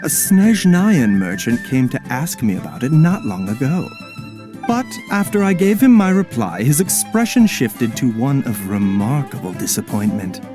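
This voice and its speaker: A woman playing an enigmatic, courteous male doctor in a video game, in a measured, insinuating manner. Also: a bass voice, big pitch swings, music in the background. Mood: curious, insinuating. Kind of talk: video game cutscene voice acting.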